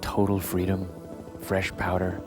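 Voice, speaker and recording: A man's voice: deep voice, completely flat delivery, measured pace, over music. A man reading scripted documentary narration as a voice-over narrator.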